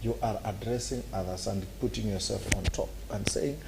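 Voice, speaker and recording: A man's voice: lowish voice, steady tone, normal speed, vocal fry, noisy background. A man with a Kenyan accent, arguing animatedly on a talk show and acting out scenes with sound effects. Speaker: political commentator.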